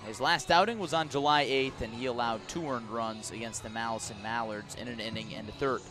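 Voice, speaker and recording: An American man calling a baseball game live on the radio, describing play in measured, short clipped phrases. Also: mid-range voice, steady tone, over music. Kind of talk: live radio sports commentary.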